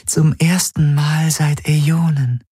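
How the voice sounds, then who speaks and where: A sad, glassy female spirit who is slowly fading away, put on by a male audiobook narrator. She speaks slowly and gently, her voice lifted high and often sinking to a whisper. The recording is dry and close. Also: little pitch movement, breathy edge, no echo. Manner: soft, pleading, partly whispered.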